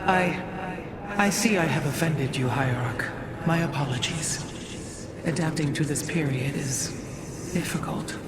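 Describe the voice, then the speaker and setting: A woman's voice: sits low, measured pace, long pauses, a loud crowd. A woman playing a proud, ancient alien keeper of history in a game, with formal, solemn, archaic phrasing. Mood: contrite. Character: proud, ancient female alien preserver of history, stern and devout. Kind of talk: scripted game cutscene dialogue.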